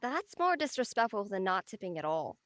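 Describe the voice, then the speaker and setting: A woman's voice: mid-range voice, sing-song, a little fast, a bit bright. A woman playing a curious teenage friend, casual and questioning, in a drama read.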